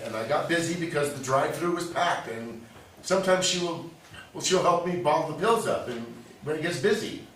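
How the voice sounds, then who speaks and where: Very echoey distant recording, man acting as flustered small-town pharmacist, defensive, stammering, stage drama, slightly high male voice. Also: brisk, fairly bright tone, natural voice. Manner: stammering, protesting. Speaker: actor in a staged reading. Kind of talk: staged play reading, naturalistic. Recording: very echoey room, distant mic, faint hiss.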